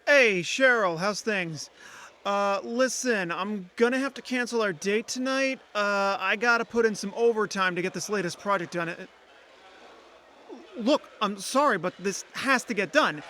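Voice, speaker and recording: A man's voice: sits high, measured pace, pushed and bright, a little hiss or hum. An actor playing an ordinary, lonely young man in an audio drama, earnest and a little hesitant. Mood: pleading, flustered. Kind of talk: audio drama dialogue and first-person narration.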